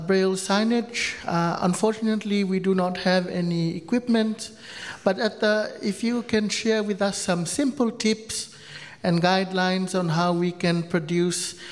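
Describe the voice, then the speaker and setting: A man asking a polite, formal question from the floor at a conference, pausing often with "uh". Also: high voice. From Samoa. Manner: polite, formal, many "uh" pauses. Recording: long reverb of a conference hall.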